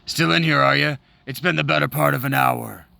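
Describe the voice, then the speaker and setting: Man putting on a gravelly mercenary, forceful, mocking, insolent, dry close sound. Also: medium pitch, brisk, no room sound. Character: gruff sneering male mercenary captain. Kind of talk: comic dramatic reading.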